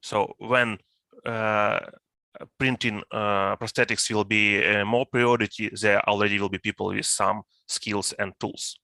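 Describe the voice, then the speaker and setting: A man with a Ukrainian accent, speaking English as a second language, talking haltingly with many fillers on a video call. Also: lowish voice, little pitch movement, vocal fry, sharp tone, no echo. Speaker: volunteer.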